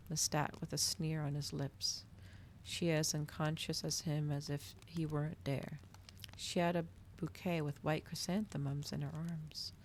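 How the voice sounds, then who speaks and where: A woman reading a story aloud in a soft, hushed ASMR style. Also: sits low, slight background noise. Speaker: streamer reading a novel aloud.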